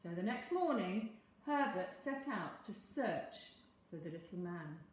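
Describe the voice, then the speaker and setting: A woman reading a children's story aloud in a dramatic, expressive manner. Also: lowish voice, a little slow. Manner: dramatic, animated. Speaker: storyteller, teacher. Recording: very echoey room.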